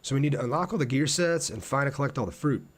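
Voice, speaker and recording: A man's voice: mid-range voice, animated, fast. A man streaming a game, chatting casually and in a laid-back way with his viewers as he plays. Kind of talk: casual livestream chat over gameplay.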